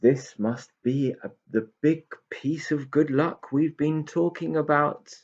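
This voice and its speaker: A man voicing an indignant but easily delighted young male pixie in a children's storybook, creaky and emphatic. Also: on the high side, slow pace, darkish tone, dull audio, voice pushed up, exaggerated voice. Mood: joyful. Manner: exclamatory, emphatic.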